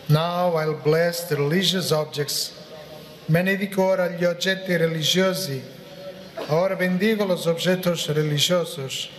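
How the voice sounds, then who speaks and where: A middle-aged man, a priest, reciting prayers and a blessing in a solemn, formal, measured way. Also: sits high, a bit bright. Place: large open-sided chapel before a crowd. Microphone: gooseneck microphone at lectern, through PA. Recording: very echoey amplified sound, long reverb.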